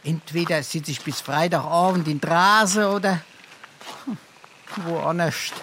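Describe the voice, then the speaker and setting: A blustering, brazen rogue from an old Hessian dialect comedy. His voice sits fairly high and he declaims with theatrical pomp. The thick Darmstadt accent comes over light background noise. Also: slow pace. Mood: self-pitying bravado. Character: brazen, pompous, scrounging rogue of 1840s Darmstadt. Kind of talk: dialect stage comedy acting.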